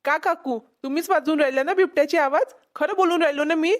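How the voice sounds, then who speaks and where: Cartoon casting: woman as officious rescue worker, high voice, Vidarbha Marathi accent, questioning manner. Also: quick pace, lightly acted. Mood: suspicious. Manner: questioning, instructing. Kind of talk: amateur cartoon comedy voice acting.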